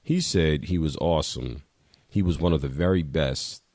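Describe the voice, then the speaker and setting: Man, low-pitched, dry close sound, reading a personal short story aloud. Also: moderate pace, a little mellow, no echo. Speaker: reader of a short piece at a memorial reading.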